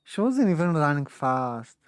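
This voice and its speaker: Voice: mid-range voice, big pitch swings, measured pace, clear, resonant tone. A game streamer muttering casually and indecisively to themselves while playing. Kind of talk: casual gameplay commentary.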